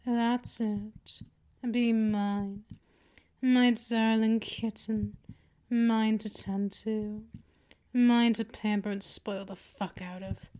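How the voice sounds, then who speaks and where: A woman acts out a seductive vampire mistress in an intimate ASMR audio roleplay. Her voice sits on the high side and she draws her words out very slowly. She is patient and possessive, talking down to the listener in a teasing, coaxing way. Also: little pitch movement, warm tone. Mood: commanding, possessive. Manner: slow, coaxing, condescending, drifting into whispers.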